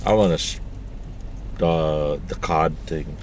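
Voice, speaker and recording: A man's voice: rumbling low, a little hiss or hum. Joking Filipino man chatting in a car. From Philippines. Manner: joking, unhurried storytelling. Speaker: vlog host. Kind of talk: casual chat.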